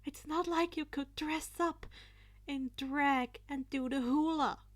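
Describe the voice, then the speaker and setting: A woman's voice: high-pitched, slow, no room sound, pitched above the performer's own voice. A woman voicing a worried, polite and practical teenage girl hero in a story reading, with a stressed, questioning manner.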